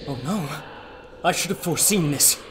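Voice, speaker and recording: An actor playing an earnest, learned doctor who makes peace, speaking dramatically in an audio adventure. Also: male voice, fairly high pitch, lively intonation, normal speed, noisy background, lightly acted. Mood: grave. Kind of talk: radio adventure drama acting.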